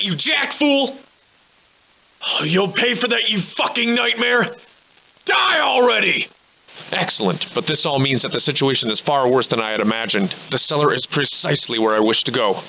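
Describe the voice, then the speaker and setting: Theatrical, surly old-time drunken scoundrel. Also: male voice, a little high, pushed and bright, a little hiss or hum, low-quality recording. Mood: furious, anguished, then grave, determined. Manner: florid, old-fashioned, theatrical diction. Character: surly hard-drinking old-time scoundrel, grandiloquent survivor. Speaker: video game protagonist voice actor. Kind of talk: theatrical video game character dialogue.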